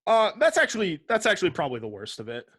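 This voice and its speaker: A man's voice: high-pitched, huge pitch swings, fast talker, bright, forceful tone, slightly rough, dry recording, clean studio sound. A man co-hosting a podcast, chatting casually with some swearing as he runs through a ranked list. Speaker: podcast co-host. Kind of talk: casual chat, reading out a ranked list with commentary.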